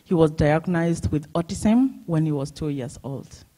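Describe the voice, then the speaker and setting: A woman's voice: sits low, expressive, a little slow, dark tone, low-quality recording. Kenyan woman introducing herself. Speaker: panelist parent.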